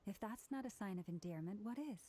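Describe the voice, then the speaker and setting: A woman playing a gentle, kindly young woman with a gracious manner in a video game. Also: medium pitch, animated, fairly quick, lightly acted. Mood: fond. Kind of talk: video game character dialogue. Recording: faint noise from game stream.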